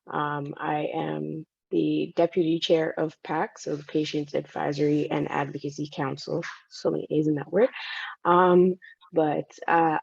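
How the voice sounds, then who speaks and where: A woman's voice: deep voice, creaky, darkish tone, slightly rough, dry recording. A woman patient advocate introducing herself on a video call, laughing and self-deprecating. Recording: dull, dry video call audio.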